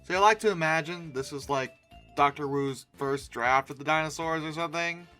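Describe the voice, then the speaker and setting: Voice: mid-range voice, normal speed, over music. A comedic online host giving sarcastic reaction commentary with theatrical mock outrage. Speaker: YouTube host reacting to images.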